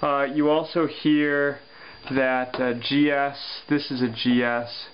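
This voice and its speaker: Male physics teacher, deliberate and halting, dull desk recording with a low hum. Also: level tone. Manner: slow, thinking aloud with many pauses and fillers. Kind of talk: worked-example lesson over paper. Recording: dull lo-fi sound with faint hum.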